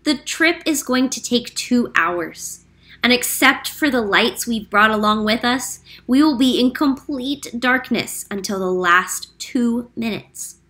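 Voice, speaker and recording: Woman, classroom read-aloud narrator, bright and forward voice, patient explanatory delivery, close mic. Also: a little high, expressive. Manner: clear, explanatory, slows down on key facts. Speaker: teacher reading a picture book aloud.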